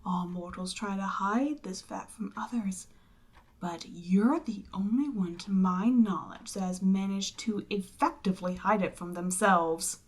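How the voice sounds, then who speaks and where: A woman voicing a seductive, imperious demon queen in an audio roleplay, speaking deliberately and theatrically, with breathy near-whispered phrases. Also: mid-range voice, a little mellow, natural voice. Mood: cool, probing. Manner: slow, deliberate, theatrical, persuasive. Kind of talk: roleplay audio drama, ASMR monologue.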